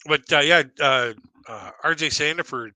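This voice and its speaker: Middle-aged male comic, very bright and forceful voice, rambling self-deprecating storyteller for a casual live stream. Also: moderate pace, dry recording. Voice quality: deep voice, by his own account. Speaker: stand-up comedian and live streamer.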